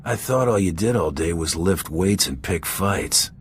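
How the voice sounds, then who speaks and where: Very deep-voiced, deadpan bounty hunter. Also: male voice, a little slow, slight background noise. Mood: wry, probing. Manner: dry, deadpan. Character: tough male bounty hunter, cool, dry, unimpressed leader. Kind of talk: video game character acting.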